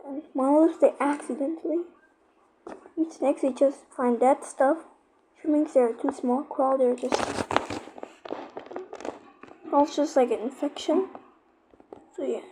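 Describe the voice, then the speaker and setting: A female speaker giving a casual, informal explanation, often halting and searching for words. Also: high voice, fairly even intonation, noisy background. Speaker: YouTuber explaining horror lore.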